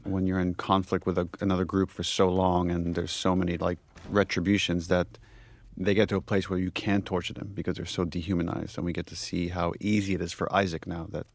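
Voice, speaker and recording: A man's voice: sits low, little pitch movement, fairly quick, vocal fry, no echo. A man giving unscripted, reflective commentary as a game director, explaining the work as he goes. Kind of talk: unscripted behind-the-scenes commentary.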